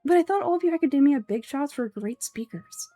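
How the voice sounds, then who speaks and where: A woman's voice: sits high, expressive, brisk, mellow, soft background music, voice pushed up. A woman voicing a brash, blunt, hearty mercenary in a game script, teasing and outspoken. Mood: indignant.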